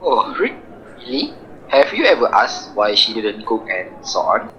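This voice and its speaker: A man acts a candid, reasonable best friend in an amateur radio play. His informal delivery swings widely in pitch, and the recording is dull. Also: big pitch swings, a little slow, a bit bright. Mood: surprised. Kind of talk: amateur radio drama acting. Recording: dull, slightly noisy recording.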